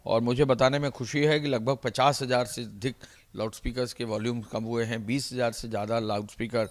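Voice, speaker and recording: A male official explains things in a mid-range voice. He is formal and measured, speaking off the cuff as in a statement to the press. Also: medium pitch, steady tone. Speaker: government official.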